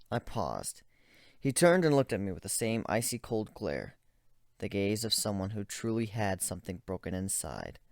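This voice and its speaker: Male amateur story narrator, deliberate and brooding, animated intonation, dry close sound. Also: low voice, vocal fry, no room sound. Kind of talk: dramatic story reading.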